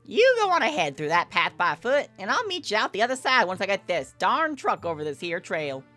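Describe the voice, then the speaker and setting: A woman's voice: mid-range voice, very animated, fairly quick, pushed and bright, faint music behind. Woman putting on a cheerful, folksy country farmer man. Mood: easygoing, reassuring. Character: cheerful folksy male farmer trucker, hearty country talker. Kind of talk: visual novel character read-aloud.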